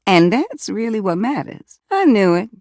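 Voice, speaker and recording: A woman's voice: mid-range voice, big pitch swings, moderate pace, darkish tone, no echo, clean studio sound. A woman voicing a sassy, flirtatious household object in a dating game, with an American accent and a folksy, teasing manner full of pet names. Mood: curious, warm. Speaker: voice actor in a dating simulator game. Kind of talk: flirty character dialogue, game acting.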